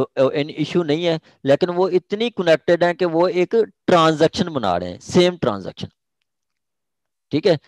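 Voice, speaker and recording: A man's voice: on the high side, a little mellow, no echo. A man with a Pakistani accent giving an informal law lecture, explaining step by step, repeating phrases and often checking that he is understood. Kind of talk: informal lecture explaining legal articles with examples.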